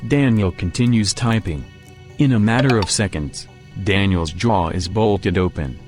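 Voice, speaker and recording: A male narrator with a fairly low voice reads a scripted story. Music plays quietly behind him. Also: a little slow.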